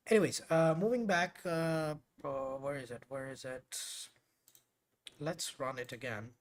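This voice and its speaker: Guy hosting a live coding stream, urdu is his first language, chatty and rambly, lots of uhs. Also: medium pitch. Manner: chatty and rambling, with frequent fillers and self-corrections. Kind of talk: casual live-stream talk to viewers.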